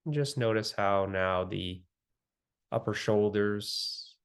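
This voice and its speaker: A man with a fairly low voice guides a meditation. He speaks very slowly, in short phrases with long pauses between them. His manner is gentle and soothing throughout. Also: clear, resonant tone.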